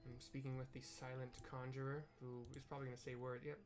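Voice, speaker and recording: A man's voice: mid-range voice, completely flat delivery, fast, music in the background. A man with an American accent casually narrating a video game playthrough, describing scenes in a hesitant, rambling way. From US Pacific Northwest.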